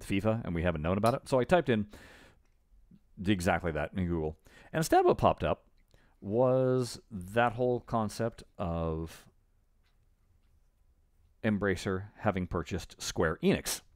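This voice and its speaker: A man's voice: fairly low pitch, lively intonation, unhurried, long pauses, no room sound. A middle-aged male podcast co-host in casual, speculative chat, thinking aloud, recorded close on a microphone in a small room. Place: small home room, indoors. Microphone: close boom-arm podcast microphone.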